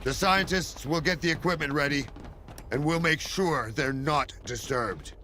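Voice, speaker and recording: An actor playing a firm, purposeful military colonel in a video game cutscene, speaking deliberately and with authority. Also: male voice, on the high side, bright, forceful tone, slight background noise. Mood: commanding.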